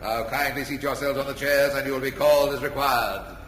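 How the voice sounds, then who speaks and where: An actor playing a grandiose stage announcer with showy, declamatory patter, in old-time radio comedy style. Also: male voice, mid-range voice, steady tone, a little fast, fairly bright tone, lightly acted. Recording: reverberant hall effect, old radio hiss.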